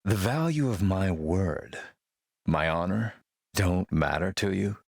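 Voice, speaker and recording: A man playing a shrewd, menacing militia boss and smooth-talking dealmaker in a video game, gravelly, measured, self-assured and wry. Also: deep voice, animated, no echo, clean studio sound, full character voice. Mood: challenging. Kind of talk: video game character dialogue.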